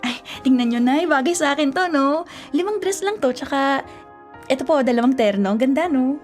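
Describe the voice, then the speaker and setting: A woman's voice: high-pitched, normal speed, faint music behind, lightly acted. An actress playing a self-centred, sweet-talking young girlfriend in a Filipino radio drama, with a Filipino accent. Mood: gushing, excited. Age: young adult. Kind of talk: Tagalog radio drama acting.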